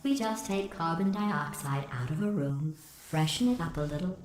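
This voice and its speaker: A woman voicing a cold, sarcastic female AI that runs a test facility, with a synthetic robotic edge and a deadpan, passive-aggressive delivery. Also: low voice, normal speed. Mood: dry sarcastic. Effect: synthetic robotic processing. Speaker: antagonist. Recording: roomy echoing game audio with faint noise.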